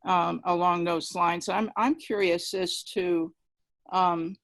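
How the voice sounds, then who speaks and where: A woman's voice: on the low side, steady tone, takes it slowly, a bit bright. A woman speaking emphatically in an informal literary discussion on a video call.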